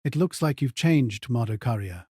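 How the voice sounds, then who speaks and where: A man playing a composed aristocratic mage in an audio drama, measured, indifferent and condescending. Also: medium pitch, expressive, a little mellow, breathy edge, no echo, studio quality. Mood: cold taunting.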